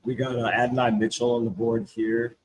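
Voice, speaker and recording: A man's voice: mid-range voice, a little fast, slight background noise, low-quality recording. A man co-hosting a draft show, talking in a slangy, casual way as he debates his pick. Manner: animated, slangy, says bro a lot. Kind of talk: casual mock draft chat.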